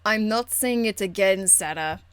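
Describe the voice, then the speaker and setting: A woman's voice: medium pitch, a little slow, clean tone, no room sound, lightly acted. A woman voicing a stern, proper female warrior in a video game, speaking formally with a dry, weary exasperation. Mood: dry, exasperated. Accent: foreign, European-tinged. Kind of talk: video game character acting.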